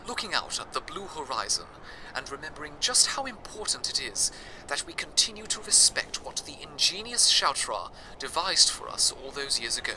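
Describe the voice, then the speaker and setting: A man's voice: fairly high pitch, very animated, normal speed, noisy background. A man playing a cheerful, loyal radio broadcaster of a dystopian city, speaking in a theatrical, grandly formal way like old-time radio. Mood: earnest, reverent. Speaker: radio show host. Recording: music playing behind the voice.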